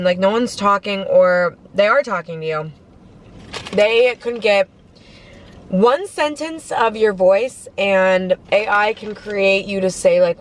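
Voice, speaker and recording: Young woman vlogger, car mukbang, casual filler-heavy chat, mid-pitched voice, faint road noise. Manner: chatty, filler-heavy, lots of "like". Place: parked car at a drive-through.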